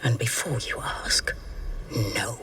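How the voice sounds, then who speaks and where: A woman playing a haughty, secretive keeper of arcane books in a video game, hushed and coolly condescending. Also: very deep voice, takes it slowly, distant mic, slight background noise, full character voice. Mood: disdainful, dismissive. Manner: hushed, disdainful, clipped politeness. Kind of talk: dramatic video game acting.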